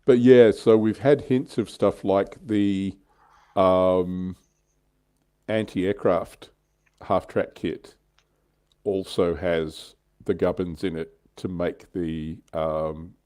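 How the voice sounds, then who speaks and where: Low, mellow-voiced man chatting casually. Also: fairly even intonation, slow pace. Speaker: livestream host. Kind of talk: casual hobby chat.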